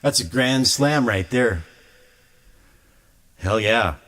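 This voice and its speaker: Man, music streamer, casual live chat, slow drawl, rambling, stammering restarts, grumbly. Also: medium pitch, expressive, fairly bright tone. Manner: grumbling, aggrieved.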